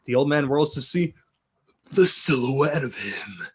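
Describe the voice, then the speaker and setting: A man's voice: mid-range voice, animated, a little slow, breathy edge. Man giving a dramatic script reading. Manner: amused, theatrical, breaks off for joking asides. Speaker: reader of narration and stage directions.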